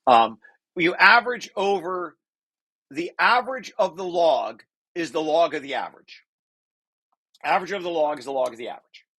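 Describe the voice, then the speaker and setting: A man's voice: fairly high pitch, animated, normal speed, pauses often, fairly bright tone, husky, poor audio. A man presenting a research paper draft informally to colleagues, repeating and rephrasing key phrases as he explains.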